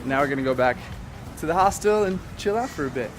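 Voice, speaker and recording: A man's voice: a little high, animated, quick pace, noisy background. A young man from the United States narrating a casual travel vlog outdoors, upbeat and chatty. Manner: upbeat, chatty, exclaims often. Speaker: travel vlogger.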